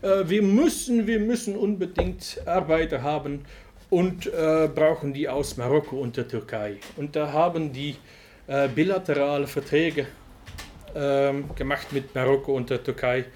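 A man from Flanders with a Flemish accent, giving a speech in a language that is not his own, often pausing to search for words. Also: on the high side, darkish tone, slight background noise. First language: Dutch. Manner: halting, pauses to search for words. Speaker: guest speaker. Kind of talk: speech to an audience.

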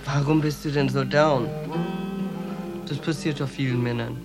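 An actor playing a blunt, provocative, streetwise transvestite prostitute in a German radio play. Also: male voice, mid-range voice, fairly even intonation, normal speed, over loud music, natural voice. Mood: soft, provoking. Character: defiant, streetwise transvestite prostitute in New York.

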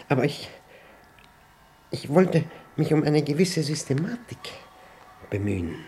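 An actor playing an uneasy older widower in a radio play, brooding and halting as he thinks aloud over his diary with pedantic care. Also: on the low side, warm tone. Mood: hesitant, resigned.

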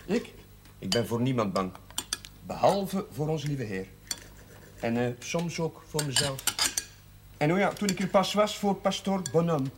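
A talkative young priest, full of cheer and easy laughter. His voice sits fairly high for a man, over a faint hiss of background noise in this 1970s TV drama. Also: expressive, unhurried, long pauses. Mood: cheerful. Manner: exuberant, laughing, breaks into song. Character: cheerful young priest, warm-hearted, chatty.

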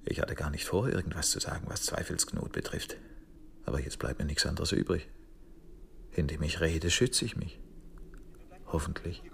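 An actor playing a sardonic chief police detective in a radio crime drama, talking in a wordy, needling, ironic way. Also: male voice, rumbling low, normal speed, vocal fry, long pauses, slight background noise. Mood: subdued. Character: sardonic male chief police detective, talkative under threat.

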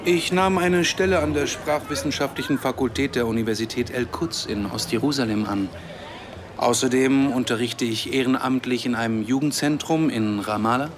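An actor playing an earnest, idealistic and sardonic Palestinian academic and activist in a radio drama. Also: male voice, mid-range voice, natural voice. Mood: matter-of-fact.

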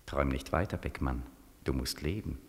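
Inner voice for 1940s radio drama: very low, hushed, calm, firmly commanding. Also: male voice, normal speed, creaky, in a large hall. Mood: calm, urging. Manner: quiet, commanding, terse. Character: calm, insistent inner voice urging a man to live. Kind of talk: 1940s German radio play acting.

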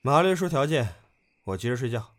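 A man's voice: medium pitch, very animated, a little fast, long reverb tail, natural voice. A man playing a cool, curt young idol group leader, offhand and dryly teasing, in an audio drama. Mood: dry, wary.

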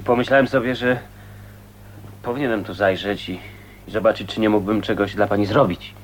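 An actor in a radio play voicing a courteous, wry and secretive man who speaks in a polite, measured way. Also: lowish voice, fairly even intonation, a little hiss or hum, lightly acted, rough recording. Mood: polite concern. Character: courteous, wry, secretive male loner and suitor.

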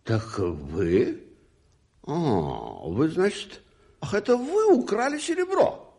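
An actor playing a haughty, curious aristocrat in a radio drama, with theatrical, imperious questioning and a husky voice. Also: male voice, a little high, wildly swooping pitch, slow pace, warm tone, full character voice. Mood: startled, suspicious.

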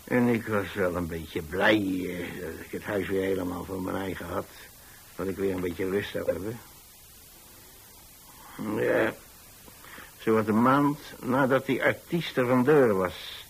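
An old pensioner narrating a radio play. His voice is fairly low and a little husky. He rambles in a folksy way, hesitating and repeating himself as he recounts his life with lodgers. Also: measured pace, full character voice, rough recording. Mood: reflective. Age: older. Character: rambling, plain-spoken old pensioner who takes in lodgers. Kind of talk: first-person radio drama storytelling.

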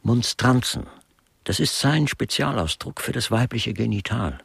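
An actor in a German radio crime comedy, playing a dry, inquisitive artist who explains things pedantically. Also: male voice, medium pitch, animated, a little slow, vocal fry, a bit bright, slightly rough, no echo, heavily acted. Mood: dry, pedantic. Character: dry, inquisitive male artist, knowing about art.

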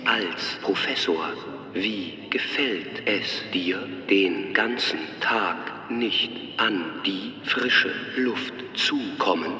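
A man's voice: low voice, extremely slow, bright, forceful tone, heavily acted. A man playing an impassive, probing questioner in a radio drama, speaking in a stilted, formal way. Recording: echoey hall with faint music.

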